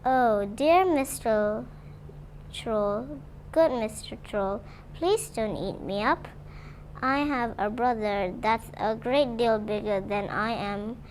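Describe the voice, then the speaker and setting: A woman voicing a frightened, middle-sized male goat in a storybook reading, pleading quietly. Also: on the high side, steady tone, measured pace, few pauses, a little hiss or hum, natural voice, low-quality recording.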